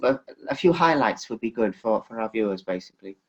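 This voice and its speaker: A man's voice: on the low side, animated, brisk, highs cut off. A man hosting an informal interview in a rambling, effusive way. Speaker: interviewer, host.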